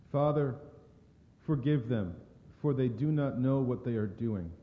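A man's voice: medium pitch, a little slow, dark tone, close to an everyday voice. A man playing a solemn, forgiving condemned Jesus in a dramatic scripture reading. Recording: long echo of a large hall.

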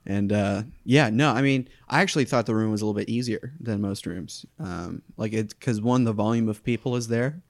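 Male comic guest from Florida, low sleepy voice, rambly and giggly. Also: a little fast, hardly any pauses. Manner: rambling, filler-heavy, giggly. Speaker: stand-up comedian guest. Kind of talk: casual chat.

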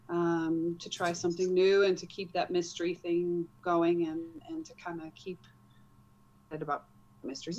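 Dutch lady author chatting away, lower voice, kinda muffled lo-fi sound. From Netherlands. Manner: chatty, animated, laughs easily. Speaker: author, guest. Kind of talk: casual interview.

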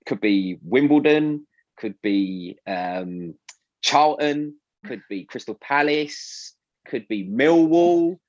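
A man with a South London accent chatting casually about his radio show, animated and full of fillers and restarts. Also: mid-range voice, slow pace, no room sound, dull audio. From South London, England. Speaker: radio presenter, guest. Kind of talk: casual interview chat.

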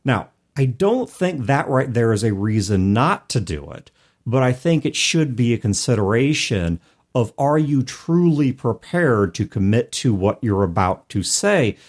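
A man hosting a talk show about tabletop gaming, explaining things in an articulate, conversational way with measured, emphatic phrasing. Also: mid-range voice, big pitch swings. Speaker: podcast host. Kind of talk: conversational advice on tabletop game mastering.

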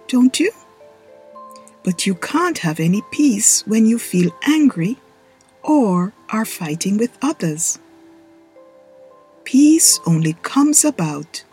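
A woman voicing a loving spirit guide in a storybook, speaking softly and gently. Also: mid-range voice, husky, exaggerated voice. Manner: soft and loving.

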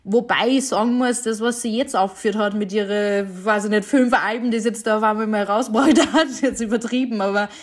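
A woman's voice: medium pitch, fairly even intonation, fairly quick, hardly any pauses. A young woman, a singer-songwriter answering interview questions in a chatty way, with many fillers and frequent laughs.

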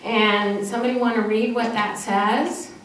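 A woman's voice: on the high side, steady tone, normal speed, a bit bright. A woman speaks simply and encouragingly as she leads a children's message at church, asking questions and affirming answers. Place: church, during a service. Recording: echoey church, distant mic, faint noise.

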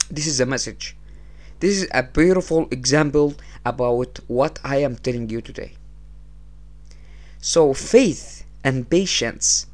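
Egyptian male podcast host, English, unhurried motivational talk, budget mic. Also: medium pitch. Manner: unhurried, repeats phrases, non-native English. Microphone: small low-cost podcast setup.

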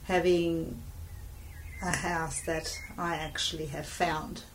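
Woman, low-pitched, thoughtful and halting, distant mic with faint hiss. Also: flat delivery. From Australia. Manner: reflective, halting, laughs often. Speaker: business owner talking about her drawing. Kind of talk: casual chat.

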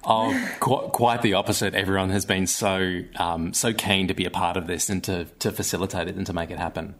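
A man's voice: sits low, fairly even intonation, brisk, fairly bright tone. An Australian man speaking conversationally as an interview guest, earnest and hesitant as he searches for his words. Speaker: guest, theatre maker and actor.

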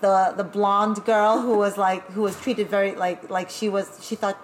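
A woman from Taiwan who grew up speaking Taiwanese, talking as a guest in a casual interview, animated and chatty with laughing asides. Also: mid-range voice, moderate pace, slight background noise, rough recording. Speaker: guest, burlesque performer.

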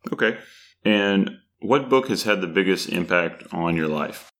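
Deep-voiced male podcast host. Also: expressive, measured pace, a bit bright. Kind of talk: scripted intro and interview questions.

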